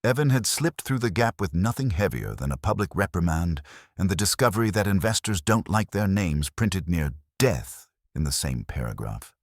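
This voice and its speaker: Male narrator for first-person mystery fiction: extremely deep register, lively intonation. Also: moderate pace, no echo, studio quality. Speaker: audiobook narrator. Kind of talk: scripted first-person detective story narration.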